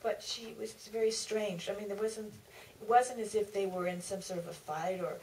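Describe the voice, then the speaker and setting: A woman's voice: mid-range voice, steady tone, moderate pace, darkish tone. A woman answering informally on a panel, feeling her way through sentences and correcting herself. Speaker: author on a Q&A panel. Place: theater stage. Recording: distant theater mic, faint noise.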